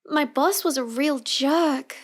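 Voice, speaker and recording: Shy young villainess, played by a woman: high-pitched, unhurried, apologetic and sweetly helpless, for intimate audio roleplay. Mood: sweet, guarded. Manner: halting, apologetic, soft-spoken and sweet.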